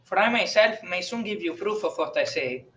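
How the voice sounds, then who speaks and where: A woman voicing a grave, probing priest in an audiobook, with measured, solemn and formal delivery. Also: fairly low pitch, expressive, a bit bright.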